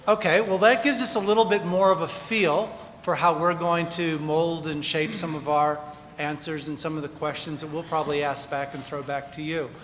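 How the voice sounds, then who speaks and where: A man's voice: fairly high pitch, steady tone, normal speed, a bit bright, slight background noise. Man panelist in a reverberant hall. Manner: conversational, polls the room. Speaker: panelist, commercial real estate developer. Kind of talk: panel talk engaging the audience. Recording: reverberant room, faint ambience.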